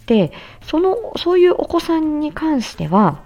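A woman's voice: sits high, sing-song, normal speed, a little mellow. A woman hosting a solo talk, explaining things casually and conversationally as a speech therapist, with emphasis and frequent appeals for agreement. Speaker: speech therapist, audio show host. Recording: slightly dull, muffled lo-fi recording.

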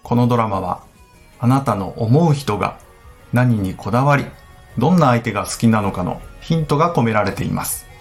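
Mid-pitched man narrating a drama trailer. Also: expressive, brisk, faint music behind. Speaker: promo narrator.

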